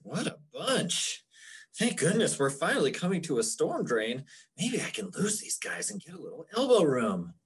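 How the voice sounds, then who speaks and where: A woman voicing an excitable, whiny teenage boy turned raindrop in a theatrical comic strip read-aloud. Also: fairly low pitch, moderate pace, slightly rough, exaggerated voice. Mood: flustered. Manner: theatrical, exclaiming, hesitant.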